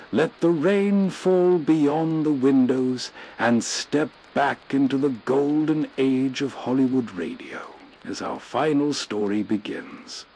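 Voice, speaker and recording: A man's voice: mid-range voice, a little mellow. A man hosting with scripted, atmospheric storytelling narration. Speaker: show host.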